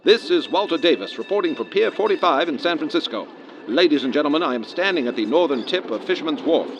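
An actor playing an earnest 1930s radio field reporter giving hesitant, descriptive live commentary through a dull vintage radio sound. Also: male voice, a little high, expressive, quick pace, slight background noise. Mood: concerned, observant.

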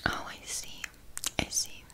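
A woman's voice: measured pace, natural voice. A woman voicing a blunt, vain, chatty town witch in a whispered ASMR roleplay, casually condescending. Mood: welcoming, chatty.

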